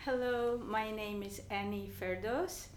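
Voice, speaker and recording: A woman with a Bangladeshi accent speaks slowly and carefully, pausing on ums and restarting her sentences as she recalls her family. The room around her gives her voice a noticeable echo. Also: mid-range voice, steady tone, slight background noise. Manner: halting, many ums and restarts. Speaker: interviewee. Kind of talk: oral history interview.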